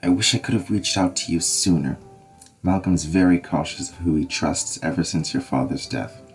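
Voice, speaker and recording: An actor voicing a grave Scottish nobleman with a steady, reassuring manner. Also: low voice, moderate pace, soft background music. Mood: solemn. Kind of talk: fan audio drama acting.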